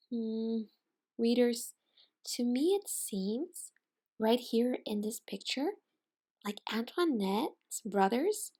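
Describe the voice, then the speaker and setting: Slow, creaky-voiced woman reading to children. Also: a little high. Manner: expressive, deliberate, pausing to question young listeners. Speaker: teacher reading aloud to children. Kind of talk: interactive storybook read-aloud.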